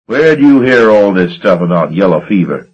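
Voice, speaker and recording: A man voicing a gruff, hot-tempered steamboat captain who blusters and curses. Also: sits low, sing-song, normal speed, full character voice. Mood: angry demanding. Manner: blustering, demanding, cursing. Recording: dull lo-fi recording.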